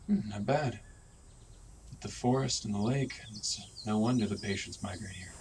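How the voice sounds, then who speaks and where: A man's voice: on the low side, moderate pace, a little mellow, recorded from a distance, a little hiss or hum, lightly acted. A man playing an eager young doctor in a radio drama, musing to himself with dry wit. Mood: wry, musing. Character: eager, wry young male doctor arriving at a new post.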